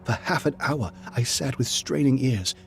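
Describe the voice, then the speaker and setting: An actor playing an earnest, apprehensive, loyal gentleman companion and storyteller in an audio drama, with formal dramatic delivery. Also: mid-range voice, lively intonation, normal speed, creaky, soft background music. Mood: suspenseful narration. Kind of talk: audio drama acting and first-person narration.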